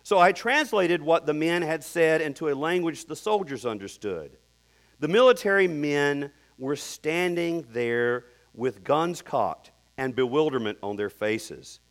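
Guy preaching a sermon, kinda high voice, earnest, drops low at the ends. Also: expressive, measured pace. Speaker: pastor.

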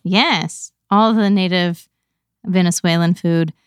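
A woman's voice: fairly low pitch, fairly even intonation, slow, noisy background. A woman hosting a church podcast, welcoming listeners and asking friendly, upbeat questions. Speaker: podcast host.

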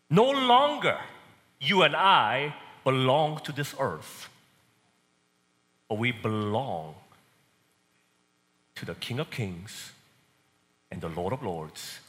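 A man from Korea with a Korean accent, preaching a sermon and swinging between loud and soft emphasis. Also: medium pitch, big-room sound.

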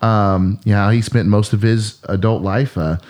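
A man's voice: on the low side, normal speed, a little mellow. A man who is a pastor, hosting a casual podcast in a playful, rambling way and laughing often.

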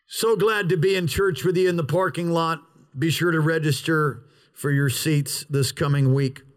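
A pastor preaching an outdoor sermon in a parking lot, with an opening prayer and emphatic, drawn-out pauses. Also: male voice, on the high side.

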